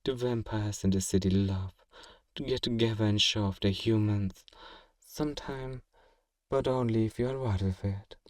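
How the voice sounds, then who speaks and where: A genteel, possessive male vampire, played by a man in an ASMR roleplay. His voice is fairly low, dark and relaxed, and he coaxes and soothes with doting politeness. The sound is very dry and intimate, with no room echo. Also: normal speed, lightly acted. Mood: teasing, doting.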